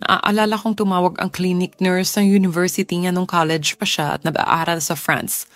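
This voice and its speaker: Low-voiced worried mother, acted by a woman. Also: flat delivery, moderate pace, no room sound, lightly acted. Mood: troubled recollection. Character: worried mother of a sick son. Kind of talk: Filipino audio drama dialogue. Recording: music bed under the voice.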